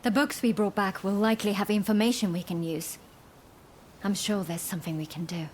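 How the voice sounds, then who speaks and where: A compassionate woman doctor in a video game, as an actress plays her, resolute and courteous. Her pitch is medium and she sounds earnest, with faint noise behind. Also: fairly even intonation, a little fast, natural voice.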